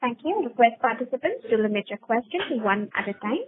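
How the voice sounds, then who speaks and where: A woman's voice: a little high, very animated, brisk. A woman working as a conference call operator, formally introducing callers in scripted phrases. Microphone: phone line. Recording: noisy tinny phone line.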